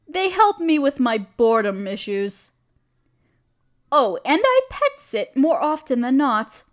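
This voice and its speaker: A woman's voice: high-pitched, heavily acted. A woman playing a friendly, genteel witch who chats kindly in quaint, dainty phrases, in an audio roleplay. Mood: friendly, chatty. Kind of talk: scripted audio roleplay speaking to the listener.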